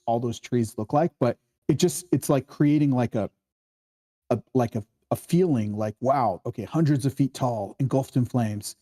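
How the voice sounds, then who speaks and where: A man hosting a casual screenwriting discussion, explaining in a halting way and often checking for agreement. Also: mid-range voice, dark tone. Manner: halting, explanatory, keeps seeking agreement with "right?". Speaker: host of a screenwriting table read.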